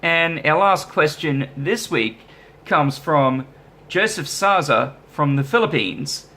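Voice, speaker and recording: A man's voice: a little high, animated, slow, sharp tone. A man vlogging casually as a musician, easygoing and self-deprecating as he rambles through viewer questions. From Australia. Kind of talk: casual vlog chat answering viewer questions.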